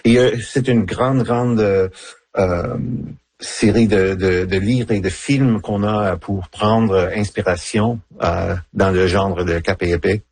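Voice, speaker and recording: A middle-aged man, a novelist whose first language is English, speaking French haltingly in a radio interview with many fillers and restarts. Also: lowish voice, fairly even intonation, darkish tone, husky, no room sound. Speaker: novelist guest.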